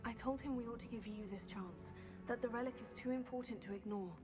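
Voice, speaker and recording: Composed schoolgirl witch, played by a woman, lightly high-pitched. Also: brisk, over music, lightly acted. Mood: reassuring. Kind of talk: dramatic video game voice acting.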